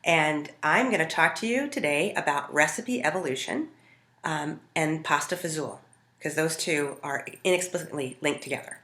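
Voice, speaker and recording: An American woman from Montana telling stories casually to camera as a home cook, in a conversational, animated way. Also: low voice, normal speed. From Montana, United States. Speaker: home cook hosting a food video. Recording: roomy, echoing sound.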